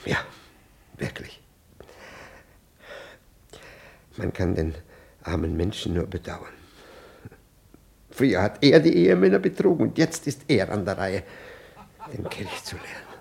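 A middle-aged husband consumed by jealousy in a 1960s German radio play. His creaky voice leaps up and down as he stammers in pompous indignation, evasive and easily flustered. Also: lowish voice, sing-song, normal speed, long pauses, a little mellow, heavily acted. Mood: rueful.

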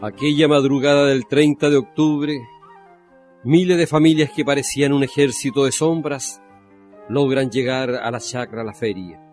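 Un hombre que narra un radioteatro histórico con un guion. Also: male voice, mid-range voice, steady tone, normal speed, a little mellow, soft background music. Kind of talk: scripted documentary-drama narration.

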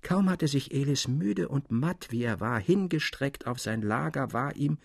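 A man narrating a literary tale in a measured, expressive and articulate dramatic audiobook style. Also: medium pitch, vocal fry, husky, dry recording, studio quality.

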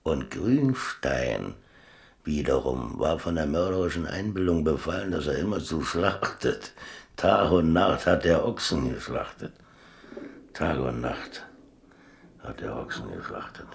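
Old seafaring storyteller, extremely low pitch, slow, wry, rambling, colloquial, radio drama. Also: male voice, very animated, full character voice. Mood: insistent, reminiscing. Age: older. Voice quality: gravelly. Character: old seaman reminiscing about chess, wry, rambling storyteller. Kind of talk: radio play monologue, colloquial first-person storytelling.

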